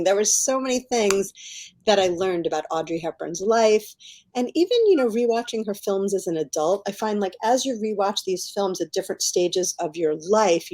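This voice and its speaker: A woman's voice: mid-range voice, darkish tone. A middle-aged woman giving casual, enthusiastic and effusive answers as an author being interviewed. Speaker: author guest.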